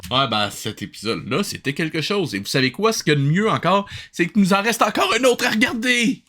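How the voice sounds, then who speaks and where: A man's voice: high-pitched, expressive, brisk, bright, forceful tone, a little hiss or hum. A man with a Québécois accent doing sarcastic, exasperated comedic commentary as a review host.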